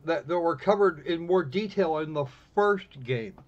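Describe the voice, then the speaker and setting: High-pitched wry man, lo-fi stream. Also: lively intonation, moderate pace. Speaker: livestream host. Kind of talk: casual game stream chat. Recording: dull lo-fi microphone sound.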